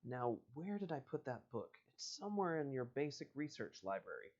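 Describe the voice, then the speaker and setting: A man's voice: mid-range voice, sing-song, moderate pace, darkish tone, dull audio. A man voicing a young, skeptical, inquisitive detective in a storybook, with a plain questioning manner. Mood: musing. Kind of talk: storybook character reading.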